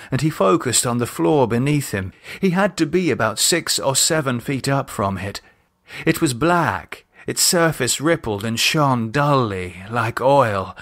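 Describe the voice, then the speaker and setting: A man reading a horror novel as a dramatic audiobook, measured and expressive in his delivery. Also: medium pitch. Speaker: author and narrator reading own novel.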